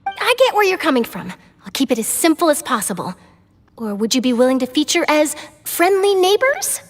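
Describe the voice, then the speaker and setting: A woman's voice: high voice, very animated, brisk, in a large hall, slight background noise. An actress playing an eager, chatty, persistent young female news reporter in a video game, with a bubbly, pushy manner. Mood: bright, curious. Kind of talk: animated video game voice acting.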